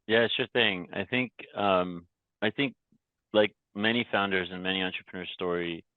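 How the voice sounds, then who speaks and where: A man's voice: sits low, fairly even intonation, moderate pace, no room sound. A man who grew up in Canada, a podcast guest and company founder telling his story reflectively, often restarting and repeating words. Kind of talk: casual interview talk. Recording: dry, tinny phone-like remote line.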